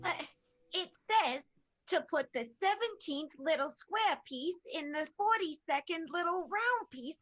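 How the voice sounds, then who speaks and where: Naive, fretful comic pupil, female actor: piercingly high, lively, hesitant, radio play with music behind. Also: measured pace, exaggerated voice. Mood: weary, frustrated. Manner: wide-eyed, hesitant, exclaiming. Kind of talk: improvised radio play acting.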